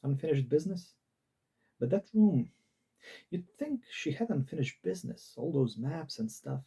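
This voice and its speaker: A bewildered, overwhelmed story protagonist, acted by a man reading aloud. The delivery is very husky and mellow, with some vocal fry. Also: fairly high pitch, expressive, moderate pace, distant mic, exaggerated voice. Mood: puzzled, anxious. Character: bewildered narrator, overwhelmed by magic.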